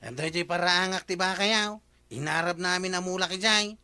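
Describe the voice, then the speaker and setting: A reproachful male villager with an Ilocano accent. He questions insistently in a bright, slightly high voice, in the manner of a radio comedy drama. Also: moderate pace, clear, resonant tone, dry recording. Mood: accusing. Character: nosy, reproachful village man confronting his neighbour.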